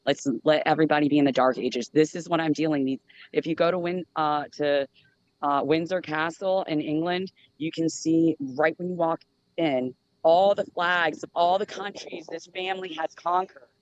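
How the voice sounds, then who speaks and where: A man's voice: fairly high pitch, steady tone, normal speed, muffled recording, slight background noise. A man speaking as a guest panelist, telling a long personal account earnestly, with many fillers and restarts.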